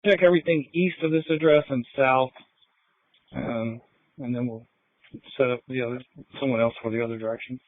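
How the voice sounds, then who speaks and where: Cop on police radio, middle-pitched man, a bit halting, thin dry signal. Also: steady tone, no echo. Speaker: police officer. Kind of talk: unscripted police radio talk. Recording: tinny narrowband police scanner radio.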